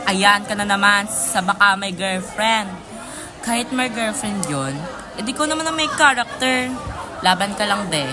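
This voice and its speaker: A woman's voice: medium pitch, lively intonation, unhurried, crowd noise, noisy background, lightly acted. Woman acting a gossipy, teasing schoolgirl, busy room behind. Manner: slangy, teasing. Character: chatty, loyal teenage schoolgirl sidekick, gossipy and playful. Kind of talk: amateur radio drama acting.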